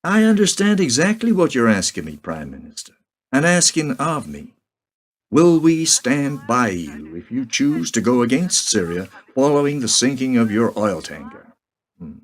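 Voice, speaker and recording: An actor playing a cautious, diplomatic American president in a radio play, speaking in a measured, formal way. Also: male voice, mid-range voice, sing-song. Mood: measured, reassuring.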